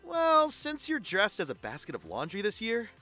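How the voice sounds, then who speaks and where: A woman plays a snarky gamer friend at a party in an audio drama. The voice is bright and swings lively as it brags and teases drily. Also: mid-range voice, moderate pace, soft background music. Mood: playful bragging. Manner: dry, playful teasing.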